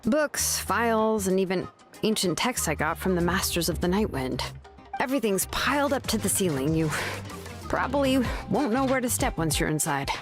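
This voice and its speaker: An actress voicing a relaxed, frank young craftswoman and name engraver in a game, talking in an easygoing way. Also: medium pitch, moderate pace, lightly acted. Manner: relaxed, casual storytelling. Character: young woman craftswoman, laid-back and frank. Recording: game audio with clear background music.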